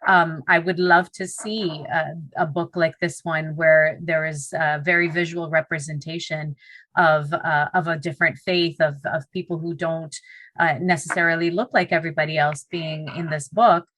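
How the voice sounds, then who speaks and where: Low-voiced earnest woman, Punjabi native. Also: fairly even intonation, moderate pace, a bit bright, dry recording. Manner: earnest, with frequent restarts and fillers. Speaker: teacher and co-author. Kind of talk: podcast interview conversation.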